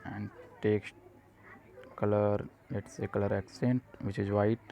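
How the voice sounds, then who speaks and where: Guy doing a coding tutorial, lowish gravelly voice, pretty flat, stop-and-start phrases, bit of noise behind him. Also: unhurried. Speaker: tutorial presenter. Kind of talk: step-by-step software tutorial narration.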